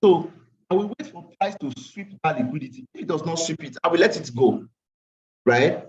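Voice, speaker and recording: A man's voice: a little high, animated, moderate pace. A tired man teaching a live online trading class, repeating phrases and slipping into Pidgin asides. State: tired after a long day. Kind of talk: live online class walking through charts. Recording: distant, dull lo-fi call audio.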